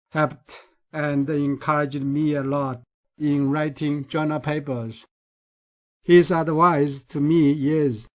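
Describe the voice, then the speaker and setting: Chinese-accented male researcher, lecture voice-over, unhurried and careful, slightly raised pitch. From China. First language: Mandarin Chinese. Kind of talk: recorded lecture.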